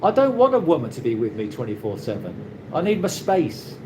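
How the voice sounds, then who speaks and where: A British man hosting a casual live chat, reading out viewer comments and answering in a rambling, halting way with many restarts. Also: mid-range voice, wildly swooping pitch. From Britain. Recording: noisy ambient background.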